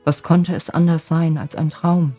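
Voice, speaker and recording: An old bachelor from a storybook fairy tale, voiced by a woman, gruff yet softening. The voice sits low and is earnest and restrained in manner. Music runs behind it. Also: fairly even intonation, measured pace. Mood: pensive. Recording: music bed under the voice.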